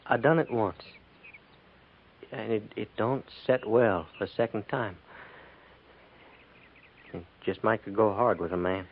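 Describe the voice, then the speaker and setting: An actor playing a troubled, plainspoken cavalry trooper with a rural Western American accent, halting and deferential, in a 1950s radio drama. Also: male voice, on the low side, slightly rough, heavily acted. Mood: grieving, subdued. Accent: rural American West. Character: troubled, plainspoken frontier cavalry trooper, newly remarried widower. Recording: tinny, noisy 1950s radio recording.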